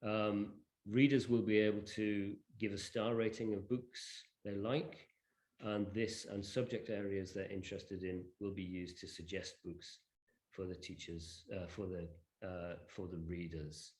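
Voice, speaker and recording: A man's voice: fairly low pitch, level tone, a little slow, a little mellow. A man presenting an informal live product demonstration, explaining things in a hesitant way. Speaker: presenter demonstrating a digital library. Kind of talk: live product demo to an audience.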